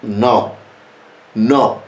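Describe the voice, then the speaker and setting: A man teaching geomancy in French, which is not his first language, speaking slowly and repeating rhetorical questions. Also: lowish voice, steady tone, reverberant. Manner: slow, repetitive, rhetorical questions. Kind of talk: spoken lesson to camera.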